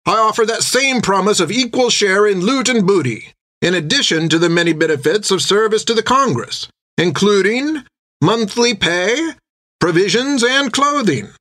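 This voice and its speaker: A man's voice: high voice, expressive, moderate pace, bright, forceful tone, voice pushed up. A man voicing a stern male officer recruiting marines, formal and rousing.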